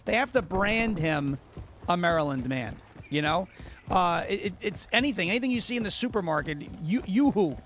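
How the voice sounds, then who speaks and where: Argumentative New York man, high voice. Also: expressive, moderate pace, a bit bright, a little hiss or hum. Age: 30s. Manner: argumentative, repeats himself. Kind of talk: casual political chat.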